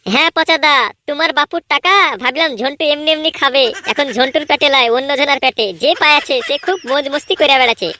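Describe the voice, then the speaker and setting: A woman's voice: high voice, steady tone, fast, pushed and bright, slight background noise. A woman voicing a cheeky, boastful young male troublemaker in a comedy cartoon, with a brash, cocky manner. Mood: gossipy. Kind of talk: cartoon voice acting.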